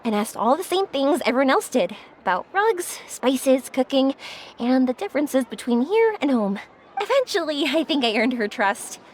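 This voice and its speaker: A woman playing a cheerful, chatty, warm-hearted young traveler in a video game, bubbly and encouraging. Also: high-pitched, big pitch swings, normal speed, slight background noise. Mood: thoughtful, chatty. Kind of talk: scripted video game story dialogue. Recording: studio voice over background music.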